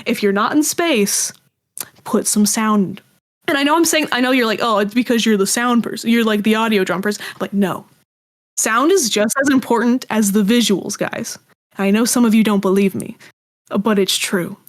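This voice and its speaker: A woman chatting casually as an interview guest, talking in a rambling, animated way. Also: a little high, normal speed. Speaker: guest, audio drama creator.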